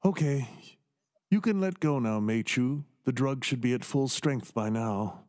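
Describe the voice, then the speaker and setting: A man acting a villainous doctor in a radio play, calm, condescending and smoothly menacing as he schemes. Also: medium pitch, unhurried, close to an everyday voice. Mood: smug, amused.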